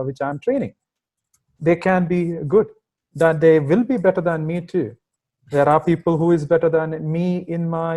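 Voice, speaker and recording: Man, indian accent with some american, slightly higher voice, halting with fillers, video call. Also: darkish tone. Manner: hesitant, many fillers, emphatic asides. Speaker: guest software engineering manager. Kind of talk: casual video call interview answer.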